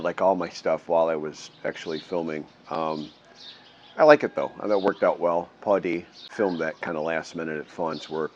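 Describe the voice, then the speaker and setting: An older man from the Midwestern US with a low, deep voice talks casually to his camera. He rambles, restarts his sentences and chuckles now and then. He sits outdoors under a metal roof, with faint background noise of birds and animals around him. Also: muffled recording. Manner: rambling, self-correcting, chuckles often. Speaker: vlogger living abroad.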